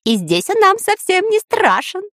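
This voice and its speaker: A woman voicing an excitable, cheeky little male spy with shrill, exclamatory speech in a children's storybook. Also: unhurried, no echo, studio quality. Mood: overjoyed.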